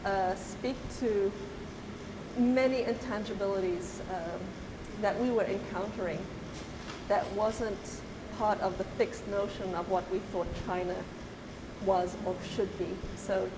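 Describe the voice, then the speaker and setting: A middle-aged woman from Zimbabwe, an artist talking reflectively, pausing often and restarting her thoughts. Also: medium pitch, steady tone. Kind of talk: reflective conversational talk. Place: gallery. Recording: roomy hall with hiss.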